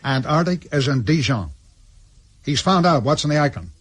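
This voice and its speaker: Husky, terse intelligence man. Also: fairly high pitch, animated, normal speed, soft background music. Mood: factual, urgent. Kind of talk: radio drama acting.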